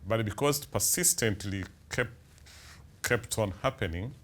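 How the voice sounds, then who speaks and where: A man speaking as a central bank governor on a conference panel, halting and often repeating words with many fillers. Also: fairly low pitch, a bit bright. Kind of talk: panel discussion.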